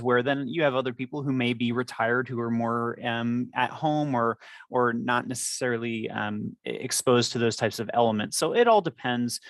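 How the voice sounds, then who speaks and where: A man's voice: medium pitch, a bit bright, no echo. Man explaining conversationally, dry close sound. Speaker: device company representative and former repair technician.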